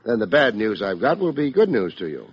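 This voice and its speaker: A low-voiced, husky private detective from an old radio mystery, wry and world-weary. He tosses off deadpan wisecracks with swinging pitch, on a dull vintage recording. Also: fairly quick, heavily acted. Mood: wry, bantering. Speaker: narrator and lead detective. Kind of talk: old-time radio detective drama acting.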